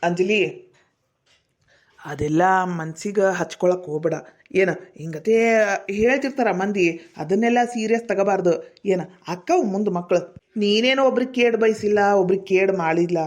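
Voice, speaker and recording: A woman's voice: on the high side, quick pace, lightly acted. A woman playing an earnest, protective family man in a drama skit, speaking emphatically with a North Karnataka accent. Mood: consoling.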